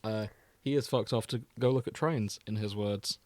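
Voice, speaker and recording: A man's voice: on the low side, moderate pace, no room sound. A man co-hosting a podcast, chatting casually about fandom in a joking, conversational way. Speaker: podcast co-host.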